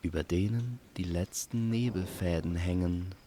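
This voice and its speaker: Slow, low-voiced male fantasy storyteller. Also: background noise. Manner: calm, poetic, unhurried. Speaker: storyteller and host. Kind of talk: scripted fantasy audio-play narration.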